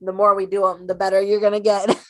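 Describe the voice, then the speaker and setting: A woman co-hosting a casual fan chat, relaxed and encouraging. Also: medium pitch, moderate pace, no echo. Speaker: co-host.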